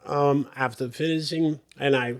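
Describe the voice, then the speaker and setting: A man's voice: a little high, fairly even intonation, measured pace. A man from Rhode Island speaking as an interview guest, telling stories in a casual, conversational way. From Cape Verdean, grew up in Providence, Rhode Island, USA. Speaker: interview guest, museum director.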